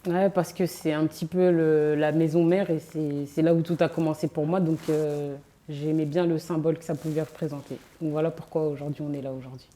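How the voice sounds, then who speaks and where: A woman in her thirties from France, guest in a casual interview, talking in a relaxed, hesitant way with many fillers. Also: sits low, fairly quick, few pauses. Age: 30s. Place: on location in a sports venue.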